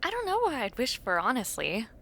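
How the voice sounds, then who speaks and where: A woman's voice: fairly high pitch, huge pitch swings, a little fast, bright, forceful tone, slight background noise, heavily acted. A woman playing an awkward, imaginative young university dormmate in a casual, intimate audio roleplay, chatting in a hesitant, self-deprecating way. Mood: playful, chatty.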